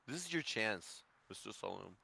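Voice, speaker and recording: Lively, bright-voiced young man joking. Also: fairly low pitch, normal speed, creaky, husky, no room sound. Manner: playful, mock-formal interviewer. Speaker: podcast co-host. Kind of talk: joking casual podcast chat.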